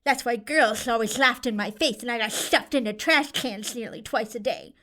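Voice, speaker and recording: Nerdy boy necromancer played by a woman, slightly high-pitched, pompous, bitter, theatrically menacing audio drama villain. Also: moderate pace, bright, forceful tone. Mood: smug, bitter.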